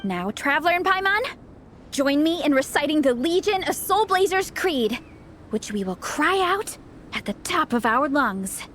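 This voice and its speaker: A woman playing an excitable, earnest young club captain and hero fangirl in an anime game, with a theatrical, exuberant delivery. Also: high voice, measured pace, slight background noise. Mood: rousing, joyful. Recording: music behind the voice.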